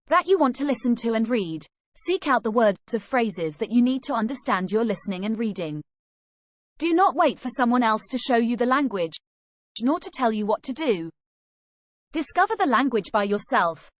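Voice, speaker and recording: Woman, teacher reading a scripted lesson, lively sing-song pitch, dry close sound. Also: dry recording. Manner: reads sample questions and answers, then study tips.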